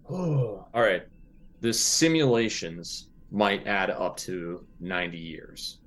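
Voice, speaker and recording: Low-voiced man playing a tabletop game. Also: slow, a bit bright. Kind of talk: casual improvised roleplay.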